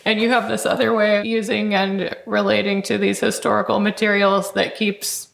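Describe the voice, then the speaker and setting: Female host, articulate and considered, mid pitch with creaky edges, asking interview questions in a roomy acoustic. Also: flat delivery. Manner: measured, articulate, academic. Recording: roomy echoing sound.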